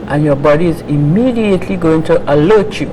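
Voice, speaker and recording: A man's voice: fairly high pitch, a little fast. A man advocating natural healing, explaining his views steadily in an interview. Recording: noisy background.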